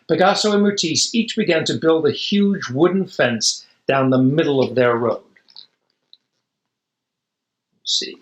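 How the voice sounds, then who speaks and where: A man's voice: on the high side, a bit bright, echoey room, poor audio. A man reading a children's picture book aloud expressively, with dramatic emphasis and casual asides. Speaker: storybook reader.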